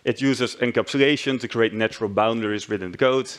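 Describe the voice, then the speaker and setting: A man's voice: mid-range voice, fast talker. A man in his thirties with a Dutch accent, giving a friendly, self-deprecating tech talk on a conference stage, with frequent restarts. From Netherlands. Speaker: conference speaker. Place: large conference hall stage.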